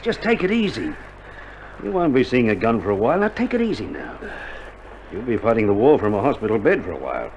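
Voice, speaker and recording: An actor playing a caring, no-nonsense Australian army medic in a radio drama, coaxing and grumbling, on an old vinyl recording. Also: male voice, fairly low pitch, big pitch swings, brisk, a little mellow, muffled recording, slight background noise. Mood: soothing. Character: caring, no-nonsense army medic soldier tending a sick mate.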